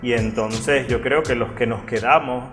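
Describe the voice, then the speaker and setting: A man talks in a measured, analytical way, at a middle pitch. Music runs beneath his interview. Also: steady tone. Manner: reflective, analytical. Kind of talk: documentary interview. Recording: music under the voice.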